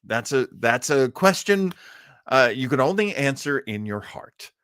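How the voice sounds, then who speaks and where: A man with a mid-pitched voice hosts a casual livestream in a dry, close sound. He rambles chattily and jokily as he talks to his viewers, quick to chuckle. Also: big pitch swings, fairly bright tone, no echo. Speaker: host of a live-streamed show. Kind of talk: casual live-stream chat with viewers.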